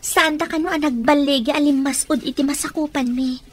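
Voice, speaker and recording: An actress playing a questioning young family member in an Ilocano Filipino radio drama. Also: female voice, high-pitched, fairly even intonation, a little fast, faint music behind, close to an everyday voice. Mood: concerned.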